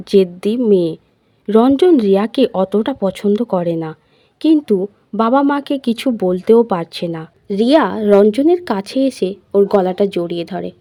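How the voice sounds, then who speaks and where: A woman's voice: mid-range voice, dark tone, no echo. A woman reading a scripted love story as its narrator.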